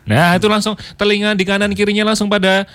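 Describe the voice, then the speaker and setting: A man's voice: high-pitched, level tone, fast talker, a bit bright, dry recording. A man hosting a radio show, chatting playfully and laughing often. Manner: playful, laughs often, mixes Javanese into his talk. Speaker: radio host. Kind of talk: casual on-air chat.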